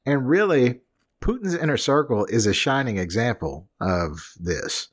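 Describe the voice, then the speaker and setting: A man's voice: mid-range voice, lively intonation, unhurried, husky. A man co-hosting a podcast in a casual chat, with dry, sardonic asides and hedging remarks. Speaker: podcast co-host.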